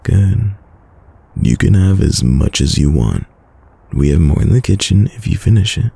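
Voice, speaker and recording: A man playing a tender, teasing boyfriend in an intimate, soft-spoken ASMR roleplay, with a very deep, gravelly voice. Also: animated, dry recording, heavily acted. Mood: tender, playful. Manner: slow, soft-spoken, soothing, affectionate. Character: tender, teasing young boyfriend caring for sick partner. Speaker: voice actor.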